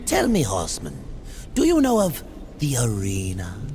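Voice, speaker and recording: A man's voice: lowish voice, wildly swooping pitch, normal speed, noisy background. An actor playing a cunning, oily demonic merchant in a video game, theatrical and insinuating, drawing out his words. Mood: sly bargaining. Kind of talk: cinematic video game acting.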